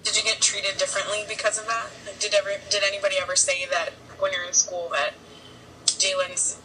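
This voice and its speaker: A woman's voice: mid-range voice, steady tone, brisk, bright, forceful tone, distant mic, a little hiss or hum, low-quality recording. A woman conducting an interview, asking rambling questions full of likes.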